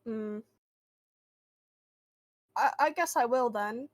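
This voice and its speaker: A woman playing a friendly but guarded boy who hears voices, halting and reassuring, in an improvised tabletop roleplay. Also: a little high, dry recording.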